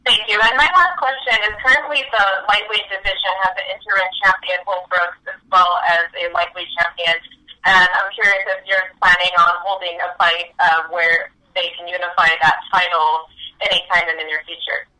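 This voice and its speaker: A woman's voice: lowish voice, fairly even intonation, moderate pace, bright, forceful tone, rough recording. A woman reporter asking politely structured questions on a telephone press conference call. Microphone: telephone line.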